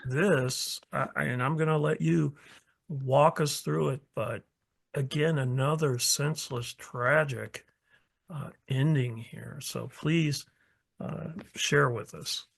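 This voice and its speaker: A male podcast host guiding an interview in a measured way, often repeating his words as he gently prompts his guest. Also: medium pitch, fairly even intonation, creaky. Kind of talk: interview hosting.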